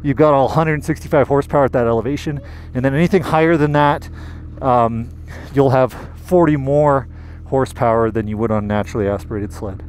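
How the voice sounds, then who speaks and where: A man from Canada giving casual, enthusiastic commentary while riding a snowmobile through deep snow, recorded on a helmet camera. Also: a little fast, darkish tone. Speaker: magazine editor reviewing a snowmobile. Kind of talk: casual ride-along commentary. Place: outdoors in deep snowy forest on a snowmobile. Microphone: helmet-mounted action camera. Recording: engine and wind noise.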